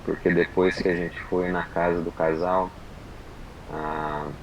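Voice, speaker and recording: Deep gravelly Brazilian man. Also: level tone, slight background noise, low-quality recording. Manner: unhurried, halting, many fillers and restarts. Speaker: podcast guest. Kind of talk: casual testimony chat.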